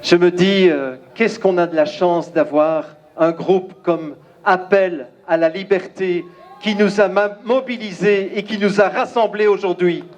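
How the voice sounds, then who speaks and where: A man from Belgium with a Belgian French accent, giving an emphatic, declamatory speech at an outdoor rally and pausing often. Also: sits high, steady tone, darkish tone, highs cut off. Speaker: rally speaker. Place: outdoor crowd gathering.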